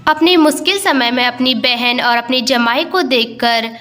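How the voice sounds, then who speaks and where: A woman's voice: on the high side, unhurried, bright, forceful tone. A woman narrating a scripted moral tale in storytelling style.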